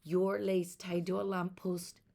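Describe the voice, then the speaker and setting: A woman's voice: lowish voice, little pitch movement, a little slow, gravelly, a little mellow. A woman acts as a devoted father in a children's storybook. The words come out fervent and heartfelt, full of feeling. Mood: moved, heartfelt. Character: emotional, devoted father of two young girls. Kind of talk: children's storybook read aloud.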